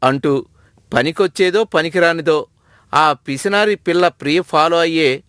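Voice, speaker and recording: Male narrator for scripted storytelling, somewhat high voice, very dry close sound. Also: measured pace, fairly bright tone, smooth, no echo.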